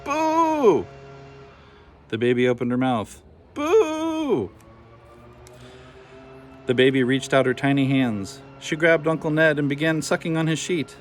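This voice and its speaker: A man's voice: mid-range voice, fairly even intonation, fairly quick, few pauses. Man reading children's stories over music. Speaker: storybook reader. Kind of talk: expressive children's story narration.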